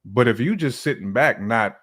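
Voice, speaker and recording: A man's voice: mid-range voice, expressive, moderate pace, fairly bright tone. A man, middle-aged, talking casually as a podcast guest, explaining his views in a reflective, colloquial way. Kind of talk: casual relationship discussion.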